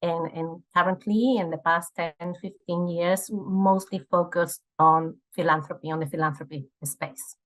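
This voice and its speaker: A woman in her forties from Ecuador, answering interview questions in an earnest, halting way with many fillers and repeated words. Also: lowish voice, steady tone, low-quality recording. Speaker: guest, nonprofit fundraising director.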